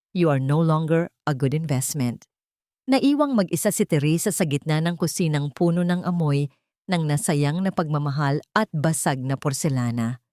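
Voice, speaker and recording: Woman, lowish voice, dramatic story narration, dry close sound. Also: a little mellow, no echo.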